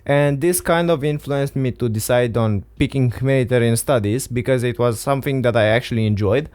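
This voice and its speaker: A man's voice: medium pitch, brisk, darkish tone, smooth. A teenage boy from Romania, speaking English as a second language, earnestly giving career advice to viewers in a casual vlog. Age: teens. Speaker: vlogger. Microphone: studio XLR mic.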